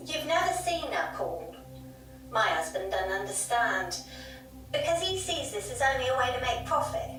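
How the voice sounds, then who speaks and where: A woman's voice: fairly high pitch, very animated, moderate pace, music in the background. A woman playing an insistent, fearful pub landlady, telling of a haunting emphatically in an audio drama. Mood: haunted, intense. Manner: emphatic, dramatic, repeats herself. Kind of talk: audio drama storytelling in an interview. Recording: very echoey noisy room, distant mic.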